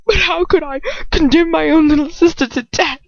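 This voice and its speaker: An anguished young man, played by a woman reader, high-pitched and tearful, his voice breaking and wavering as he haltingly confesses his guilt. It is a storybook reading on a dull, close, dry recording. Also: vocal fry, no room sound. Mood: tearful despair. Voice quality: cracking, wavering voice. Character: guilt-ridden, sobbing young man, a distraught best friend and brother.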